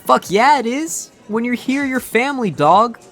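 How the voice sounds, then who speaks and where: A man playing a cocky, ironic teenage boy in a game fan dub, slangy and cool, full of deadpan bravado. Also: extremely high pitch, moderate pace, exaggerated voice. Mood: gleeful. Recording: music under the voice. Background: background music.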